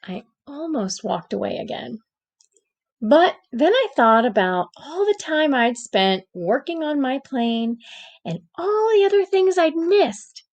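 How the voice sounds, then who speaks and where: Gentle, anxious storybook egg read by a woman: slow, creaky, expressive, with dramatic pauses. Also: high-pitched, lo-fi sound, voice pushed up, full character voice. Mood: anxious, determined. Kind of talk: picture book read-aloud.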